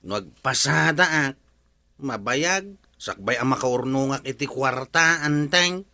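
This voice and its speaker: An aggrieved, broke grumbler played by a man in an Ilocano comedy drama, with an Ilocano accent. He complains slowly in a bright voice. The recording is dry. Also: medium pitch, expressive, dry recording, exaggerated voice. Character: aggrieved, broke husband with a worn motorbike.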